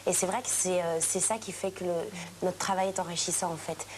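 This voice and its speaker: A woman's voice: on the low side, fairly even intonation, brisk, a little hiss or hum. A young woman from a pop group answering an interview question in a chatty way with hesitant fillers.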